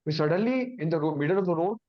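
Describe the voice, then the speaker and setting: A man's voice: fairly high pitch, lively intonation, rapid-fire. Man, Indian English accent, native Hindi speaker, simple repeated phrases, dull muffled recording. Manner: explains in simple repeated phrases, acting out confused thoughts. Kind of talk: awareness talk with everyday examples.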